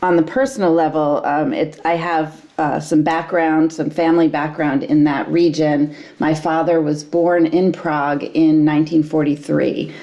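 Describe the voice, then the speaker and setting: A woman historian in her fifties, answering interview questions in a measured, thoughtful way with frequent ums. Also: low-pitched, flat delivery, warm tone, highs cut off. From United States. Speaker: historian guest.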